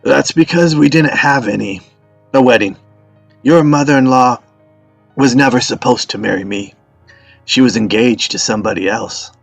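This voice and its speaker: A man playing an ailing old father who spins tall tales in a wry, deadpan, unhurried way in a script read. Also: mid-range voice, vocal fry, no echo, soft background music. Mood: wry, teasing. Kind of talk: dramatic script table read.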